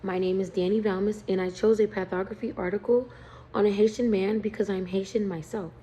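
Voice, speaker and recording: A young woman, a student from the United States, reading a prepared class presentation in an earnest, steady tone. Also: medium pitch, fairly quick.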